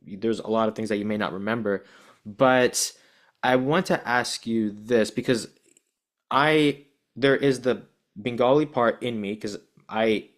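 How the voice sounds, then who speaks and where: A man hosting an interview podcast, with a voice of ordinary middle pitch for a man. His questions wind on at length, circling back and restarting mid-thought before he reaches the point. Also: measured pace. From United States, Bangladeshi parents. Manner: long, rambling questions with restarts. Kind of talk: casual interview conversation.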